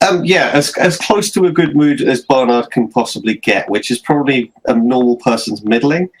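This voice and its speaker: A man's voice: medium pitch, normal speed, slight background noise, rough recording. A man playing a tabletop game, casually describing what his character does and feels.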